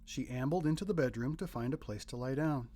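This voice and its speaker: A man reading a children's picture book aloud as narrator, in expressive scripted storytelling. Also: mid-range voice, a little fast.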